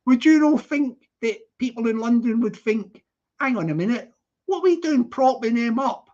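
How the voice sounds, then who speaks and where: A man's voice: very high voice, lively intonation, moderate pace, a little mellow, muffled recording. Chatty Scottish man on a livestream. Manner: chatty, repetitive sing-song greetings, laughs often. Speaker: livestream host. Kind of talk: casual live chat, greeting viewers. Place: living in London.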